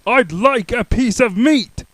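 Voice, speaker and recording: A man voicing a loud, booming cartoon character who bellows every line at full volume. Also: takes it slowly, dry recording, exaggerated voice.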